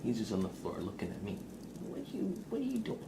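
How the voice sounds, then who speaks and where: Low, creaky-voiced man doing soft ASMR. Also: wildly swooping pitch, fast talker, very noisy. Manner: playful, mock-serious, doting talk about and to a pet. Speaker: ASMR live streamer. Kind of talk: soft-spoken ASMR livestream chat, often dropping into whispers.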